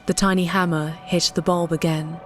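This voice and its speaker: A woman narrates an audiobook in a dramatic, scripted style. Her voice sits a little low, and music plays behind her. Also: little pitch movement, normal speed.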